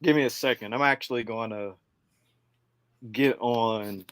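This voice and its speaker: Man in his twenties, co-host, relaxed, dry close sound. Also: mid-range voice, measured pace, clear, resonant tone, no room sound. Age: 20s. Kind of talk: casual chat.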